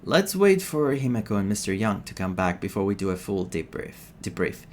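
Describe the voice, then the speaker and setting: A man streaming a story game, reading dialogue aloud and adding his own expressive reactions. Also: fairly low pitch, a little fast, a little hiss or hum. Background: game music.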